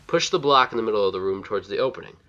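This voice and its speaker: A man's voice: fairly low pitch, animated, rapid-fire, bright, forceful tone. A man giving step-by-step instructions as the narrator of a video game walkthrough. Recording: slightly dull, lo-fi voice-over.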